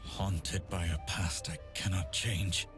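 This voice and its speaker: A very deep, haunted swordsman from a fantasy video game. He speaks in solemn, measured lines that carry weariness and menace, with clear music playing behind him. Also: fairly even intonation. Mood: weary, sorrowful. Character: brooding masked undead swordsman hunting demons. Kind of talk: scripted game character voicelines.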